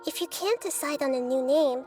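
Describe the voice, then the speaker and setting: A woman voicing a small, wise, gentle childlike goddess in a video game, speaking in a measured, earnest, articulate way. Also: high voice, natural voice. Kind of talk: scripted video game dialogue. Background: music.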